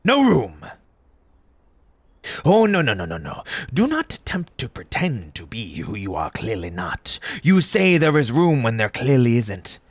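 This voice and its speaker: A man playing an eccentric, fussy tea-party host in an audio roleplay, pompous and formal in old-fashioned diction, with a breathy, husky voice and an undertone of grief. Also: medium pitch, huge pitch swings, unhurried, dry recording, full character voice. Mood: indignant, scolding. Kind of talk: theatrical character audio roleplay.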